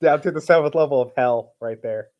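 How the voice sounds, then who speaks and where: A man's voice: medium pitch, fairly quick, lo-fi sound, slight background noise. A man co-commentating a basketball stream, chatting casually and explaining plays in a conversational way. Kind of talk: casual chat and game analysis.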